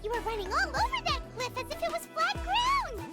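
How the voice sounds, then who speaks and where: A woman voicing a small, excitable, childlike fairy companion in a video game, prone to exclaiming. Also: very high-pitched, fairly quick, over loud music. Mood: amazed, excited. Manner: exclaiming, speaks of herself in third person.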